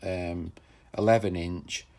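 A man's voice: low voice, fairly even intonation, slow pace, warm tone. A man chatting casually and enthusiastically as he shows off old tools, rambling with plenty of fillers. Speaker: tool collector vlogger. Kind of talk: casual show-and-tell narration.

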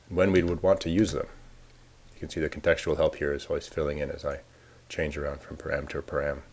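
Man, very low voice, casual screencast demo, thinking aloud, clicks and typing behind. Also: darkish tone. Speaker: software developer demoing a tool. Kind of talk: screencast walkthrough.

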